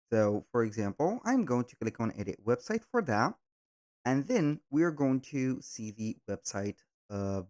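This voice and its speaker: A man hosting a step-by-step software tutorial, speaking in halting, repetitive phrases with slightly non-native grammar. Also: medium pitch. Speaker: tutorial host.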